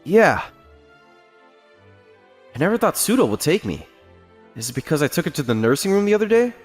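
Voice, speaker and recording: A man playing a quiet, earnest, lovesick schoolboy in a manga dub, reserved and halting when flustered. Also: fairly high pitch, big pitch swings, slightly rough, faint music behind. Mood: quiet wonder. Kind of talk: dramatic manga dub narration and dialogue.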